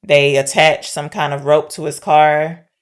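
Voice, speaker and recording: A woman's voice: sits low, level tone, measured pace, clear, resonant tone. A woman podcast co-host chatting casually and candidly as she recaps a film, often posing questions. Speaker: podcast co-host discussing films.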